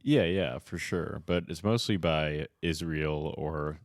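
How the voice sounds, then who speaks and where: A man's voice: very deep voice, slow, no echo. A man co-hosting a comedy podcast, rambling and riffing on wordplay in casual banter from a studio. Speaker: podcast co-host.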